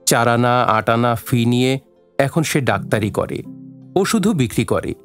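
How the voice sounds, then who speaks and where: A man reads scripted story narration for an audio drama. His voice sits at a middle pitch, with faint music playing behind it. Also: expressive, a little slow.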